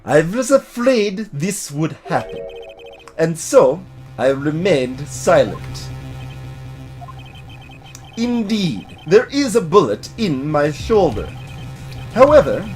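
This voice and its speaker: A man's voice: sits high, big pitch swings, takes it slowly, long pauses, a little mellow, faint music behind, heavily acted. A man doing a pompous, menacing older prosecutor, wildly swooping. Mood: haughty, defensive. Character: haughty, menacing older male prosecutor, theatrical and arrogant. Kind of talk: video game dub acting.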